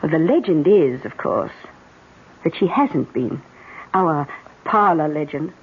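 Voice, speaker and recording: A woman's voice: low voice, animated, slow, husky, exaggerated voice. Arch British lady, tinny radio. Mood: storytelling. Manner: arch, breezy, genteel understatement. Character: worldly upper-class British older lady, wry storyteller. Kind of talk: radio drama acting. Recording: tinny narrowband radio drama, faint music.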